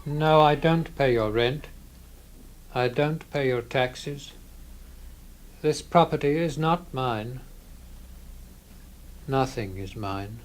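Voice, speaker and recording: A man voicing a passive, stubborn scrivener who refuses in flat, quiet, unyielding tones in an audio drama. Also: medium pitch, slow pace, pauses often, slight background noise, lightly acted. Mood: withdrawn. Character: passive, stubborn young male scrivener Bartleby.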